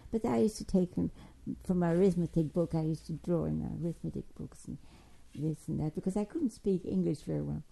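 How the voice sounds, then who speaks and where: Older woman, low and mellow-dark, halting oral-history storyteller. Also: creaky, breathy edge, poor audio. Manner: halting, digressive storytelling with many restarts. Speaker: interviewee recounting childhood. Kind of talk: spoken memoir, oral history.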